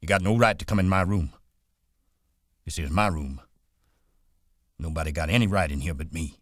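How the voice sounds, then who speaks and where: A man's voice: low-pitched, normal speed, fairly bright tone, no room sound, studio quality. A man voicing a proud, bitter, aloof stable hand who speaks sharply and defensively. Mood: hostile.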